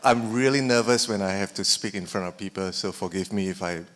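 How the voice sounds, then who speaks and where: A man's voice: low-pitched, a little fast, fairly bright tone. A man from Singapore, not a native English speaker, making self-deprecating jokes as a filmmaker on a panel. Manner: self-deprecating, joking, laughs often. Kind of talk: casual panel talk.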